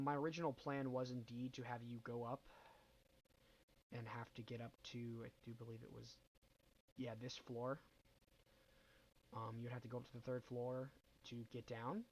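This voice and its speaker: A man's voice: medium pitch, gravelly, a little hiss or hum, rough recording. Young man with a cold, rambling. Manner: rambling, hesitant, many ums and self-corrections. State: nasal from a cold. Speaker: gaming channel host. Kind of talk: casual game walkthrough.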